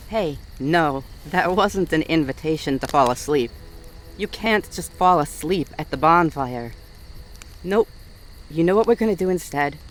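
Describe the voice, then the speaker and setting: A man playing a wary fae guard of the Autumn Court, stern but soft-hearted, in a roleplay audio drama spoken straight to the listener in an accusing, wry manner. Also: fairly high pitch, slight background noise. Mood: relieved, defensive, then exasperated, wry.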